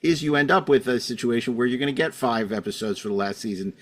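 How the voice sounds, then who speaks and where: A man's voice: medium pitch, quick pace. A man hosting a casual panel podcast, wordy and opinionated, with dry asides as he moderates. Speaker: podcast host.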